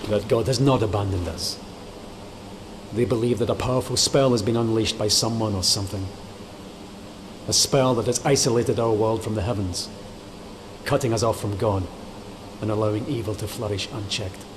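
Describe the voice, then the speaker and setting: Casting: grim, grieving crusader for fantasy game male actor, lowish voice, earnest formal speech, noisy background hiss. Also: normal speed, pauses often, close to an everyday voice. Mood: grave. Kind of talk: dramatic video game acting. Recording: clear steady background hiss.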